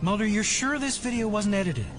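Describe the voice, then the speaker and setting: Nerdy precise tech guy, highish voice, light music under. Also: expressive, fairly quick. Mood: intrigued. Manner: precise, technical. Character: earnest, precise male tech geek. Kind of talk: audio drama acting.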